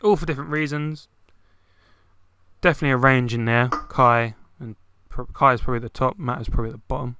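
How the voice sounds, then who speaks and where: A man's voice: medium pitch, steady tone, fast talker, sharp tone, a little hiss or hum. A young man co-hosting a casual football chat, talking loosely with many fillers. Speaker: podcast co-host.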